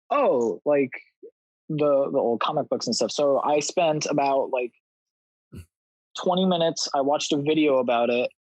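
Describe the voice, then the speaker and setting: A man's voice: medium pitch, darkish tone, dry recording, lo-fi sound. A twenty-year-old man telling an anecdote in a casual, self-deprecating way as a podcast guest. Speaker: podcast guest, audio drama writer.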